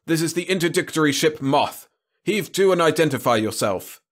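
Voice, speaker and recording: A man's voice: a little high, lively intonation, brisk, voice pushed up. A man voicing a stern patrol officer giving official orders over a ship's radio in an audiobook story. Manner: commanding, official.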